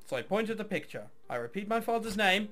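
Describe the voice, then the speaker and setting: Guy doing a visual novel let's play, voice a bit high and really swingy, music underneath. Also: big pitch swings, fast talker, fairly bright tone. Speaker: video game let's player. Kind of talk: reading a visual novel aloud with casual commentary.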